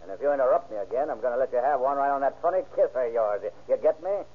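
An actor playing a gruff, curt tough-guy detective with slangy, bullying talk in an old-time radio crime drama. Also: medium pitch, animated, fast, lightly acted. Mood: bullying, irritated. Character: gruff police detective posing as a bullying, sleepy driver. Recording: muffled, narrow-band vintage radio recording.

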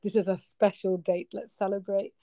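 A woman's voice: medium pitch, moderate pace, dry recording, clean studio sound. A middle-aged woman born in Trinidad and raised in the UK, with a British accent that still carries a Trinidadian lilt. She tells food stories as a podcast guest and acts out the voices of her family. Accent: British with Trinidadian traces. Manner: animated storyteller who acts out family voices. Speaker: podcast guest, nurse and cook. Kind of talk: casual interview chat.

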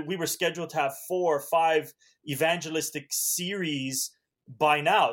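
Man, raised in Canada, Hungarian native, evangelist, earnest, halting, slightly high-pitched. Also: fairly bright tone. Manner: earnest, halting with frequent restarts. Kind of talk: podcast interview.

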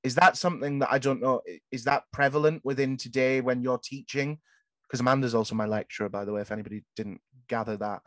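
Halting, sweary young man, dry sound. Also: mid-range voice, steady tone, fairly bright tone, dry recording. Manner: swears a lot, halting restarts. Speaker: podcast host. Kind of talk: candid casual chat.

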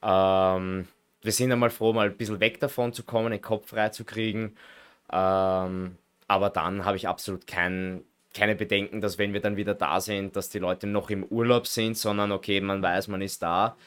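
Low-voiced young Viennese man. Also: level tone, moderate pace, fairly bright tone, smooth. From Vienna, Austria. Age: late 20s. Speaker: American football player, interview guest. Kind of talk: casual chat.

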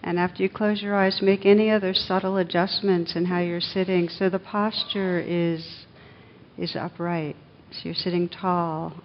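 A woman leading a guided meditation as a teacher, speaking gently and soothingly, with long unhurried pauses. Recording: dull muffled recording with faint rumbling noise.

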